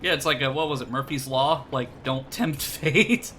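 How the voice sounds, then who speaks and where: A man's voice: medium pitch, fairly even intonation, normal speed, bright, forceful tone, a little hiss or hum. A man streaming a co-op shooter, chatting casually with a friend, teasing and laughing often, with a somewhat nasal voice. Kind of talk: casual co-op gameplay chat.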